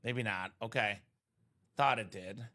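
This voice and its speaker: A man in his thirties hosting a live sports card break stream, chatting casually and pitching to viewers by name. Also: unhurried, pushed and bright. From Chicagoland area, born in Bulgaria. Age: 30s.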